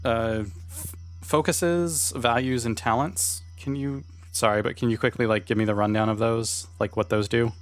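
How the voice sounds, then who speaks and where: A man with a middling pitch talks casually as a player in a tabletop game. His delivery is conversational and informal. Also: steady tone, moderate pace, soft background music. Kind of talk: casual chat.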